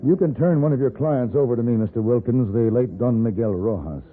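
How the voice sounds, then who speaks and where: An actor playing a cool, decisive gentleman gunfighter in a radio western, speaking in a clipped, authoritative way. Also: mid-range voice, a little fast, lightly acted. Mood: composed. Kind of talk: 1950s radio western acting. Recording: narrow-band old radio, faint hiss.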